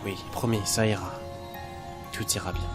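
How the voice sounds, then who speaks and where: An actor playing a gentle, reassuring android guardian in an audio drama, soothing and deferential. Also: male voice, fairly low pitch, steady tone, normal speed, close to an everyday voice. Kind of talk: French audio drama acting. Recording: loud music behind the voice.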